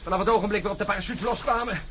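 An actor playing an exhausted, irritable astronaut in a radio drama, curt and sarcastic in manner. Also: male voice, sits high, very fast, noisy background, close to an everyday voice. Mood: irritated, weary. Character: exhausted, irritable male astronaut, cynical and snappish.

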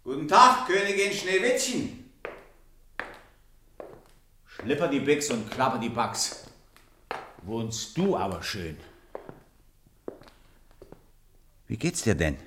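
A man's voice: medium pitch, very animated, slow, long pauses, bright, forceful tone, a little hiss or hum, full character voice. A man playing an impudent, mocking jester in a theatrical fairy-tale radio play. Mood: cheerful, teasing. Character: cheeky, irreverent Kasper puppet-show jester, married man.

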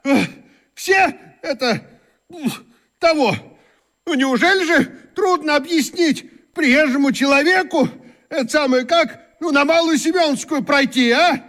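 A flustered visitor to the city in a children's radio play. The voice is extremely high and sharp-edged. He is exasperated and halting, groping for every word. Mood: exasperated, pleading. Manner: halting, tongue-tied, full of filler words. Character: flustered, exasperated out-of-town male passer-by asking directions. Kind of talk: children's radio drama acting.

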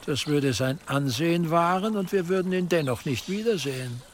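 An actor playing a cautious, authoritative prince-bishop, speaking in a measured, formal way in a German historical radio drama. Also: male voice, a little high, a little hiss or hum, lightly acted. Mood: measured, questioning.

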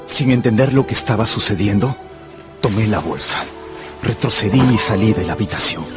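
A man's voice: lowish voice, animated, normal speed, over music, close to an everyday voice. An actor playing an impassioned, lovestruck young tutor who tells his story in a radio drama. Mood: troubled narration. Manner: fervent, theatrical. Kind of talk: dramatic first-person narration and radio drama acting in Spanish.

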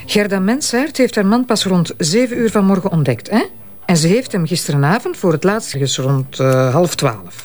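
A man's voice: high-pitched, lively intonation, moderate pace. An actor playing a questioning, dryly disapproving investigator in a Flemish crime drama, colloquial in manner, a man's voice. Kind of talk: naturalistic Flemish radio crime drama acting.

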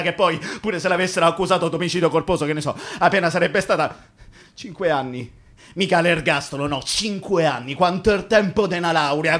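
The ghost of a Roman labourer killed on site, in a fairly high male voice. He rambles in thick street dialect, bitter and tender at once. Phrases return like an incantation, in the manner of a theatrical radio play. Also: fairly bright tone. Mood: bitter, resentful. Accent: Roman Italian. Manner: rambling, colloquial, incantatory repetition. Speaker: stage actor.

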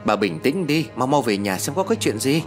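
Male narrator as gossipy older village woman, practical, insistent, chatty, animated intonation, faint music behind, audio story. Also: mid-range voice, a little fast, pitched above the performer's own voice, natural voice. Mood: urging. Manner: chatty, insistent, a little defensive.

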